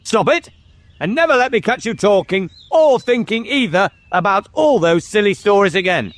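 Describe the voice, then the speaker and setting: A man's voice: very high-pitched, huge pitch swings, moderate pace, dry recording, voice pushed up, heavily acted. A man voicing a stern, short-tempered king and uncle in a storybook, imperious and scolding. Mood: thunderous, angry. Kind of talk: dramatic storybook character reading.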